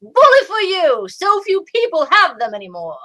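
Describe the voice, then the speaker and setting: A woman's voice: extremely high pitch, wildly swooping pitch, moderate pace, pushed and bright, pitched above the performer's own voice, exaggerated voice, poor audio. A woman playing a genteel, fussy detective's sidekick with an arch manner in an amateur old-time radio play. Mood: arch, pleased.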